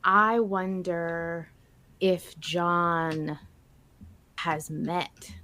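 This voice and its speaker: A woman's voice: lowish voice, flat delivery, very slow, bright, forceful tone. A woman hosting a podcast, chatting casually and enthusiastically as a fan. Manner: enthusiastic, gushing. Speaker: podcast host.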